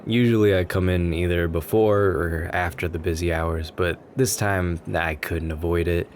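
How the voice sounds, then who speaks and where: A man plays a bashful, kind-hearted writer making nervous small talk in an ASMR roleplay. His voice is low and soft, with an earnest, rambling delivery. Noticeable coffee shop ambience sits behind him. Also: steady tone, moderate pace, slight background noise, lightly acted. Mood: chatty, warm. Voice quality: gentle, soft-spoken. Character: shy, sweet, nervous writer chatting up a café stranger.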